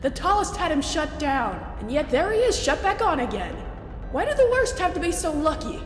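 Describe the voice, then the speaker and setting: A narrator putting on a shrill, scornful alien invader. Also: big pitch swings, moderate pace, roomy sound, long reverb tail, faint music behind. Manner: sneering, spitting complaints. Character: scornful, spiteful alien invaders heckling their leader.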